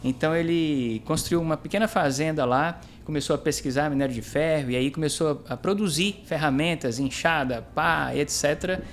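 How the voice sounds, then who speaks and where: A man's voice: fairly high pitch, a little fast, few pauses, faint music behind. A man from the interior of Minas Gerais with a mineiro accent, a pastor chatting as a guest and telling his story, often ending lines with "né?". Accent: Minas Gerais Brazilian Portuguese. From interior of Minas Gerais, Brazil. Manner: chatty storyteller, tags sentences with "né?". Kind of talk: casual chat interview.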